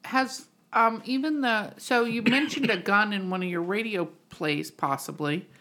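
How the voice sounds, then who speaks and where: A woman's voice: medium pitch, a little slow, sharp tone. A woman co-hosting a podcast in casual chat, teasing and blunt with the people around her. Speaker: podcast co-host.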